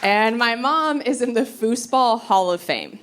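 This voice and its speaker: A woman performing stand-up comedy, telling stories in an animated, conversational way and acting out quotes. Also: fairly high pitch, unhurried. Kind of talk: stand-up comedy storytelling to a live audience. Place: live comedy venue on stage. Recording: live crowd laughter, noisy stage recording. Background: audience laughter.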